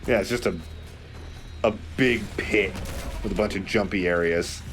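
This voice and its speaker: A man's voice: lowish voice, normal speed, over music. A man commentating a video game in casual, joking chat with friends. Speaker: let's play commentator.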